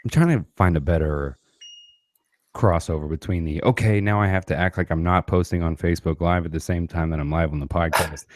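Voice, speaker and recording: A man hosts a podcast in a loose, rambling way, tossing in "man" and "brother" as he talks. His voice sits very low. The sound is close and dry, with no room in it. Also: fairly quick, darkish tone, no echo. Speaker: podcast host. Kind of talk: casual interview chat.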